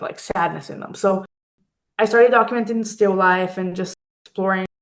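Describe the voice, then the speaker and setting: A woman from Israel speaking reflectively as an interview guest, hesitantly searching for words and often adding "like" and "kind of". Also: mid-range voice. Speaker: interview guest, fine art photographer.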